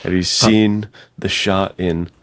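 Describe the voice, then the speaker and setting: An American man with an extremely deep voice and a gravelly creak co-hosts a podcast. He chats casually in slangy, reflective phrases. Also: little pitch movement, takes it slowly. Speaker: podcast co-host. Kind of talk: casual chat.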